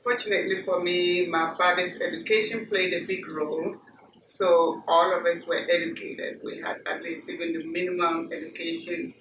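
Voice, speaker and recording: A middle-aged woman from Ghana with a Ghanaian accent, recalling her childhood in a measured, plain way with frequent fillers. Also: fairly low pitch, flat delivery. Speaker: interviewee. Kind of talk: oral history interview. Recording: echoey room, distant mic, noisy.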